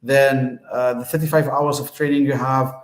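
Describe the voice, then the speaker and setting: A man's voice: mid-range voice, level tone, moderate pace, echoey room. A man from Tunisia, host of the show, giving a casual, hesitant explanation full of fillers and restarts.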